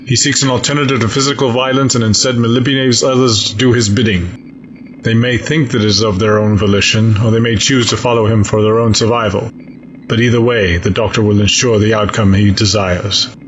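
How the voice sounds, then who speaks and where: A man playing a stern, coldly logical fleet admiral in an audio drama, speaking in a measured, formal, grave way. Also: lowish voice, fairly even intonation, close to an everyday voice. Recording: dull lo-fi sound, audible noise.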